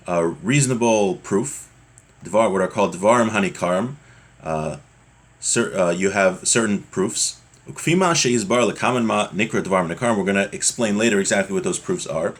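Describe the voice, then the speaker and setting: A man giving an explanatory religious lesson, reading Hebrew text and translating it into English in a conversational way with examples. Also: fairly low pitch. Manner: conversational, explains with hypothetical examples and acted-out quotes. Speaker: religious teacher giving a Torah class.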